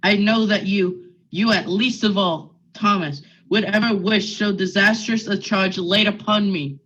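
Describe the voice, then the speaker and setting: A man's voice: high-pitched, steady tone, unhurried, sharp tone, heavily acted. A man acting an anxious, stern Puritan minister and uncle in a radio play, with formal, urgent, old-fashioned speech. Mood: pleading, alarmed. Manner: formal, archaic, urgent questioning. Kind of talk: period stage drama acting, radio play. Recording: dull lo-fi sound with music behind.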